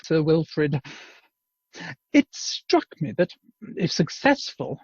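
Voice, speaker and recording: A man plays a self-important Edwardian inventor in a radio play. His voice is high, husky and very animated, with a pompous, formal delivery, on a dull lo-fi call. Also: no echo, full character voice. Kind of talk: amateur radio play acting.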